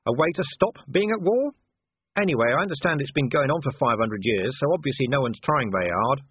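Man acting a dry, shrewd commander, husky. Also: medium pitch, lively intonation, brisk, dry recording, narrow, tinny audio, voice pushed up. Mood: needling. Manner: smooth, pointed, needling. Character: shrewd, dry, sardonic male city commander. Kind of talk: audiobook character voice.

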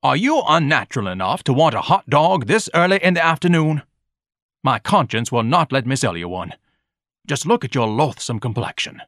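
A man's voice: mid-range voice, fairly bright tone, no echo, studio quality, pitched above the performer's own voice. A man voicing a pompous, easily outraged hot dog vendor with haughty, ornate diction, in an audiobook story.

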